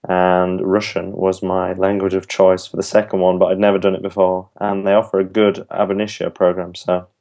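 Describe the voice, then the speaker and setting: A man's voice: low voice, dark tone. A young man, a university language student, answering interview questions thoughtfully and earnestly, with some ums and restarts. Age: young adult.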